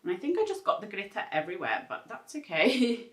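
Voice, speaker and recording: A woman's voice: medium pitch, huge pitch swings, a little fast, a bit bright, roomy sound. A woman vlogger talking casually through a makeup tutorial, chatty and rambling with lots of filler words. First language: English. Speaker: beauty vlogger.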